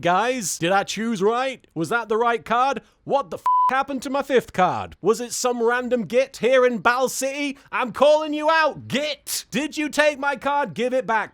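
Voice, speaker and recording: Man, very lively intonation, dry close sound, casual comedic host, rambling, mock-dramatic. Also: extremely high pitch, no room sound. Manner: playful, rambling, mock-dramatic exclamations and asides. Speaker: host of a card pack opening series. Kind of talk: casual comedic chat, reading card text aloud.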